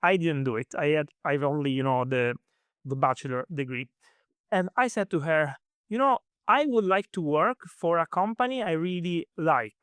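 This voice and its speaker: A man's voice: on the high side, lively intonation, moderate pace, a bit bright, clean tone, no echo. A man in his thirties from Italy with an Italian accent and Italian as his first language, answering interview questions casually with many fillers and restarts. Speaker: guest.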